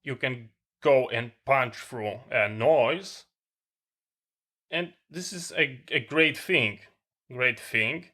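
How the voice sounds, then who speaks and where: A man with a non-native English accent informally demonstrating gear as a tech vlogger, talking in short, halting phrases. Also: medium pitch, pushed and bright. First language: not English.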